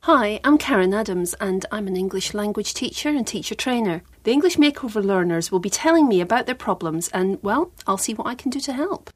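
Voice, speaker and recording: A woman English teacher giving calm, explanatory advice on a radio programme. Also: medium pitch, a little fast, few pauses. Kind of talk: broadcast advice.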